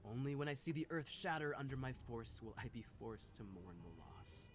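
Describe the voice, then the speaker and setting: Guy doing a tormented hero gone monstrous, grave and theatrical, visual novel style, music playing loud behind. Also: mid-range voice, animated, normal speed, natural voice. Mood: bitter resolve. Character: tormented male hero turned monstrous, brooding and intense. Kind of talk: dramatic visual novel voice acting.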